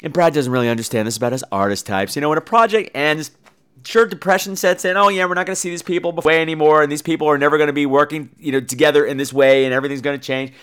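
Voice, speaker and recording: Man, high-pitched, bright, campy podcast host, theatrical and emphatic, casual comedic chat. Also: very fast, smooth.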